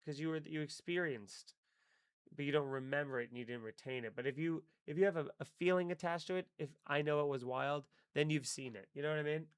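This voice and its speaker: A man's voice: fairly high pitch, a little fast, clean tone, dry recording. A young man hosting a live stream, chatting casually, rambling and swearing with wry asides. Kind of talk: casual live-stream chat reading chat comments.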